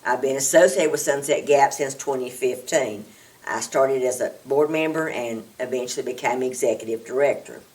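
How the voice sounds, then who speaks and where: A woman's voice: very deep voice, normal speed, a little mellow, far from the mic. A woman, an executive director, introducing herself in a composed, mildly humorous way.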